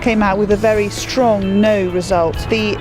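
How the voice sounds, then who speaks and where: Woman, political candidate, emphatic, forceful, outdoor campaign video, loud backing music. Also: mid-range voice, fairly even intonation, measured pace. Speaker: parliamentary candidate. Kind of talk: campaign video, addressing camera. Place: outdoors, village and town. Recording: music bed behind.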